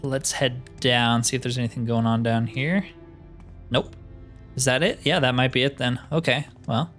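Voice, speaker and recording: A man's voice: medium pitch, fairly even intonation, moderate pace, a bit bright, faint music behind. A man giving casual, easygoing game commentary in a chatty, slangy way. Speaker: gaming host playing a roleplay playthrough.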